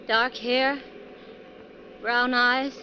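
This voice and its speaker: A woman's voice: fairly high pitch, little pitch movement, extremely slow. A woman playing a nervous, troubled wife on a bus trip in a 1940s radio drama, speaking hesitantly and earnestly. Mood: anxious suspicion. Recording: dull, noisy 1940s radio recording.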